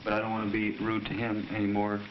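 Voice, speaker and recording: Man, deep voice, father chatting at home, muffled old film soundtrack with clear noise. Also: monotone, fast.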